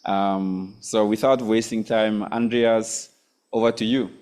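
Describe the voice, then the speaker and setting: A man's voice: on the low side, steady tone, takes it slowly, a little mellow, smooth. A man chairing a society's annual meeting, formal and polite with a few hesitations. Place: meeting hall.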